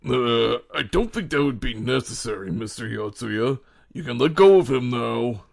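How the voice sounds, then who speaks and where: A man playing an excitable, chummy middle-aged man in an amateur audio drama. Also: medium pitch, normal speed, gravelly. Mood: puzzled.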